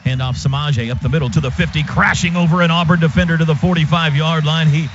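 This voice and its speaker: Man calling football, high-pitched voice. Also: quick pace. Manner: clipped phrases, excited on big plays. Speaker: radio play-by-play announcer. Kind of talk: live American football commentary. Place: stadium radio booth. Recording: noisy, muffled stadium radio broadcast.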